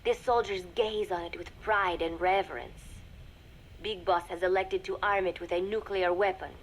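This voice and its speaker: A woman voicing a composed young female spy in a video game audio log, speaking formally with measured, deliberate diction. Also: lowish voice, fairly even intonation, close to an everyday voice. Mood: cool, calculating. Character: composed young female spy secretly reporting to a shadowy master. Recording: noisy cassette tape recording with ambience.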